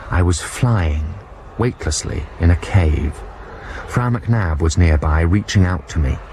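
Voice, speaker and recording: A man's voice: sits low, unhurried. An actor playing a timid, earnest young German student who narrates his adventure in a German accent, in a radio drama. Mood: dreamy. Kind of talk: dramatised first-person narration. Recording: 1990s radio broadcast with noisy effects behind.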